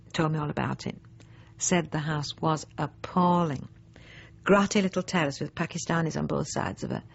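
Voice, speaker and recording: Radio monologue: snobbish middle-aged housewife, self-righteous and prim, husky with a creaky edge, on a slightly dull recording. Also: female voice, sits low, heavily acted. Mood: sniffy disdain. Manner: prim, pointed, self-justifying, with emphatic swoops. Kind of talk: radio drama monologue, confiding.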